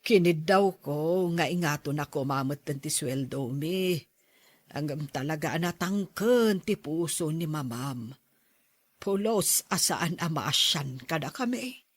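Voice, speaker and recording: A humble older nanny and housemaid in a radio drama, played by a woman. She speaks slowly, with motherly deference and some hesitation, in a dry recording. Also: low voice, expressive, no room sound. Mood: plaintive. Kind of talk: Ilocano radio drama acting.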